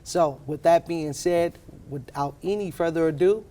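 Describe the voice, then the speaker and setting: A man's voice: on the high side, measured pace, warm tone, a little hiss or hum. A man hosting a business interview show, formally welcoming his guest and asking questions. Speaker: podcast host. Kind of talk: scripted introduction and interview questions.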